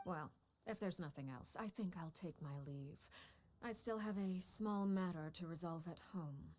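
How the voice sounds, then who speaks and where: An actress playing a cold, composed woman who leads a household of spies, speaking in a measured, quietly menacing way. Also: on the low side, slight background noise, natural voice. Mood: cool. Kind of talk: video game dialogue. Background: game music.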